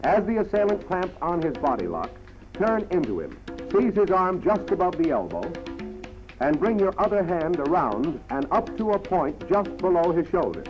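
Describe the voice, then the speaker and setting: A man's voice: high-pitched, sing-song, normal speed, over music. An American man narrating a 1940s military training film in a formal, clipped, instructional manner, on a dull vintage soundtrack.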